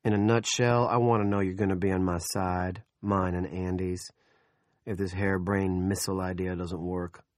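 A man doing a low, folksy bully. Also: flat delivery, moderate pace, dry recording, studio quality, close to an everyday voice. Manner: low, folksy, quietly threatening. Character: menacing jovial middle-aged male town boss.

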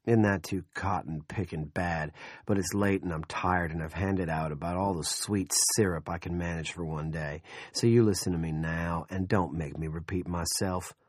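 A menacing, jovial middle-aged town boss, voiced by a man. He has a very deep voice and a folksy, quietly threatening drawl. The recording is dry and close. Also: steady tone, normal speed, dry recording.

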